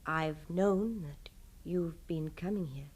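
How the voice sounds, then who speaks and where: An actress playing a tender, earnest, devoted gentlewoman in a literary radio drama, with theatrical delivery. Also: fairly low pitch, slow pace, husky, far from the mic. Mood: gentle, earnest. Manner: hushed to impassioned, theatrical delivery.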